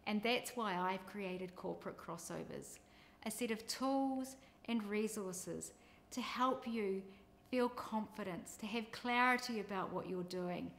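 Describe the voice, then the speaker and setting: A woman's voice: medium pitch, a little hiss or hum. A middle-aged woman with a New Zealand accent, a business coach telling her career story in an upbeat, scripted introduction.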